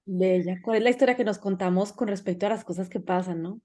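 A woman's voice: mid-range voice, fast talker, dark tone. A woman with a Colombian accent, hosting a casual conversational interview podcast. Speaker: podcast host.

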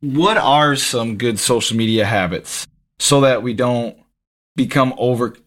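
A man's voice: mid-range voice, a little slow, a bit bright. A man of about thirty hosting a podcast, who jokes about himself and asks long, rambling questions. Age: 30s. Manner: casual, self-joking, asks rambling questions. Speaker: podcast host. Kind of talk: conversational podcast interview.

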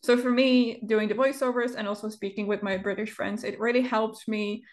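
A woman, an English speaker, talking as an interview guest, often pausing and searching for words with fillers. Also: on the high side, fairly even intonation. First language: Czech. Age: 20s. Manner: hesitant, pauses to find words, frequent fillers. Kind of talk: casual interview chat.

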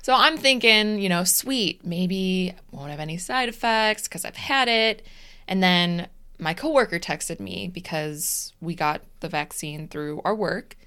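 Lively young woman, smooth low-ish voice. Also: unhurried. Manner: animated storytelling, acts out her own texts. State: recovering from vaccine side effects. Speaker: podcast co-host. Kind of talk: casual chat.

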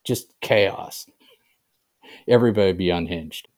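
A man's voice: deep voice, a little slow, darkish tone. An older man being interviewed as an author, speaking conversationally and often checking in with the listener. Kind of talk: casual interview chat.

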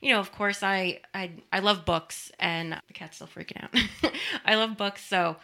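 A woman's voice: mid-range voice, unhurried, creaky, sharp tone. A woman producing a podcast, telling a story in a chatty, casual way and laughing easily.

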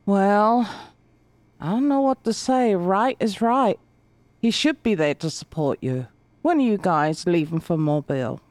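A woman's voice: medium pitch, expressive, unhurried, no echo, close to an everyday voice. Woman playing a concerned, consoling friend, dry sound. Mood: sympathetic. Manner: gentle, hesitant, consoling. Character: sympathetic, concerned female acquaintance offering condolences.

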